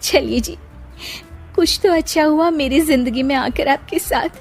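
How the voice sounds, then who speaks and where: A woman voicing a gentle, sad, self-doubting young bride in a cartoon story, plaintive and resigned. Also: sits high, unhurried, soft background music, pitched above the performer's own voice. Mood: anxious, tearful.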